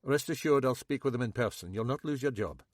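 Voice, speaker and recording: A man's voice: medium pitch, expressive, a little fast, dry recording, clean studio sound, natural voice. A man voicing an older police inspector, firm and bluntly indignant, with a Scottish accent.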